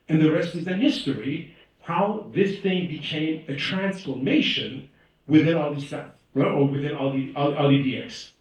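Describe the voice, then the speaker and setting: A man from Iran giving a motivational storytelling talk at a conference, emphatic and animated, often acting out dialogue and checking in with his listeners. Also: high voice. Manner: animated, emphatic, acts out quoted dialogue, frequently checks in with "right?". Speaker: conference speaker, leadership trainer. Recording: very echoey hall, distant microphone.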